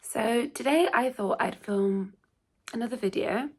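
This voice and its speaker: A woman's voice: a little high, measured pace. A young woman, congested from a cold, chatting casually and rambling through a get-ready-with-me vlog at home. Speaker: beauty vlogger. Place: at home, shared house.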